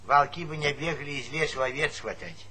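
An actor playing a folksy, fussing old village grandad who coaxes and nags in a Soviet radio play. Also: male voice, on the high side, a little fast, sharp tone, husky. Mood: sly, needling. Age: older. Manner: folksy, coaxing, nagging repetitions. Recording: noisy 1970s radio drama recording.

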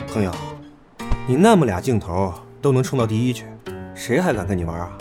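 A man's voice: on the low side, very animated, a little fast, over music. An actor playing a sarcastic, teasing young man in an idol group, in an audio drama.

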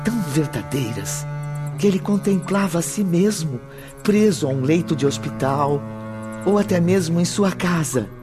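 A man narrating a grim radio drama in a solemn, measured, theatrical way. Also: on the high side, lively intonation, close to an everyday voice. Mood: grave. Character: solemn male storyteller narrating a grim tale. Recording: dramatic music under the voice.

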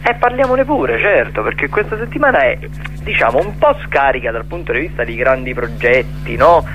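Male radio contributor, mid-range voice, casual and halting delivery with music underneath. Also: mid-range voice, big pitch swings, a bit bright, muffled recording. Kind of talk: casual radio talk on cultural listings.

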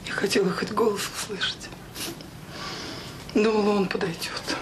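An actress in a Soviet radio drama playing a wounded, resigned woman with quiet dignity and a restrained, terse delivery. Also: mid-range voice, fairly even intonation, moderate pace, natural voice. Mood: resigned, hurt. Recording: noisy old audio drama recording.

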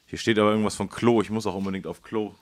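A man's voice: lowish voice, steady tone, racing. A man co-hosting a casual, colloquial conversation about music history. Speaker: co-host.

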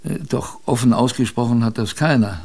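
A survivor of a polar expedition narrating a radio drama. His voice is mid-pitched and creaky. He tells of hardship in a thoughtful, colloquial and wry way. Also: normal speed, darkish tone. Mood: grave, reflective. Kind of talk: conversational storytelling in a German radio drama.

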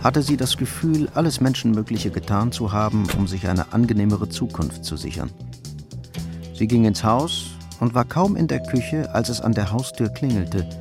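An actor narrating a hard-boiled radio crime drama in a measured, matter-of-fact way. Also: male voice, medium pitch, expressive, over music, close to an everyday voice.

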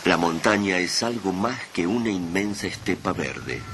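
A man's voice: sits low, lively intonation, normal speed. A man narrating a radio drama in a solemn, literary, dramatic style. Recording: clear background noise and ambience.

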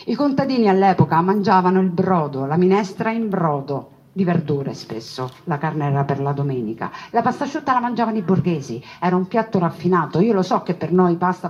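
A woman performing a narrative monologue for an audience, expressive, with conversational asides and repeated phrases. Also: fairly low pitch, husky, dull audio. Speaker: stage storyteller, monologue performer.

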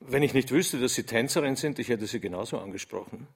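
An actor playing an intense, awkward, logical mathematician in a radio drama, speaking deliberately and precisely. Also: male voice, mid-range voice, gravelly, slightly rough. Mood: shy, earnest.

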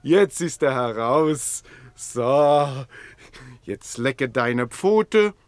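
A man's voice: medium pitch, lively intonation, extremely slow, clean tone, no room sound. An actor playing a timid, tender-hearted man who coos in silly baby talk to soothe an animal, in theatrical radio play style. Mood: delighted, doting. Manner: cooing baby talk with silly rhyming pet words.

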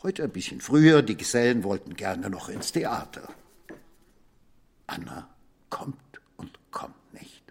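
An actor playing an insinuating police commissioner in a radio play, interrogating with theatrical, needling storytelling and pointed pauses. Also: male voice, on the low side, very animated, vocal fry, darkish tone, breathy edge, heavily acted. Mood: needling, mocking. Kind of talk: German radio play acting.

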